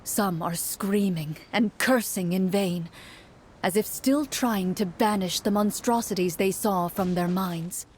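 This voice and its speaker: A woman narrates a game story in scripted second person. Her voice sits at a medium pitch, with noticeable noise behind it. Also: a little slow.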